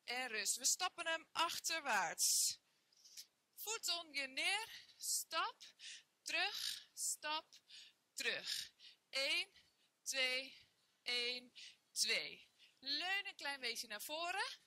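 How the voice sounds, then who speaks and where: High, bright-voiced fitness trainer woman. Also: big pitch swings, very slow, long pauses, dry recording. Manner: encouraging, counting reps while exercising. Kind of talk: guided workout instruction. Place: bare indoor studio room.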